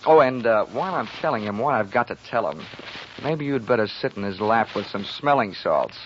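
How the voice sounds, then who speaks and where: Wisecracking hardboiled investigator, old radio. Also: male voice, fairly low pitch, lively intonation, normal speed, fairly bright tone, a little hiss or hum. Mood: brisk, wry. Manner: dry, sardonic wisecracks. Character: wisecracking hardboiled male insurance investigator, cocky and flippant. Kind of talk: 1940s radio acting with first-person narration. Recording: dull 1940s radio recording with music.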